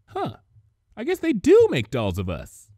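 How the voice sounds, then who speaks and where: A man voicing an excitable, playful young female cartoon pony with a bubbly, squealing manner. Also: sits high, unhurried, no room sound, clean studio sound, voice pushed up, heavily acted. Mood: musing.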